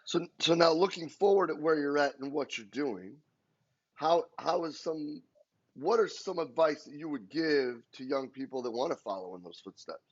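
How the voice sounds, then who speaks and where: A man's voice: a little high, moderate pace, breathy edge. A man hosting a podcast, asking long, winding interview questions. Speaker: podcast host. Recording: dull lo-fi audio.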